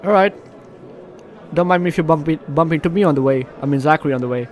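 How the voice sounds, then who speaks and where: Higher-voiced man doing game commentary. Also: fast. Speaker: video game streamer. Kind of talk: let's play commentary, reading game text aloud. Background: game music.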